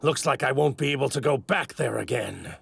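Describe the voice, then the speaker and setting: An arrogant evil god anime villain, super pompous, swoops up and down, gravelly edge. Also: male voice, medium pitch, animated, a little fast, heavy background noise. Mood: smug. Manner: pompous, theatrical, formal grandiose diction. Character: arrogant godlike male villain in a stolen warrior body. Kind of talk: English anime dub acting.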